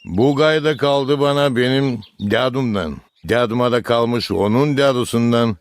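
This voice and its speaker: A man's voice: mid-range voice, unhurried, no echo, clean studio sound, close to an everyday voice. A man voicing a kindly old village grandfather in a cartoon, encouraging, with a storyteller's manner. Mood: reminiscing. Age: older. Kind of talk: cartoon character acting.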